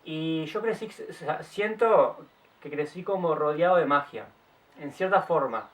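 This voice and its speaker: A young man with a Uruguayan accent chats to his viewers in a slightly high voice, tripping over and restarting his words as he goes. The sound is a little distant, caught by a camera mic set back from him outdoors. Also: measured pace, a bit bright. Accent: Uruguayan Spanish. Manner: chatty, stop-start, self-correcting. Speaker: vlogger. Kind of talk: casual storytelling to camera. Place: outdoors in the late afternoon.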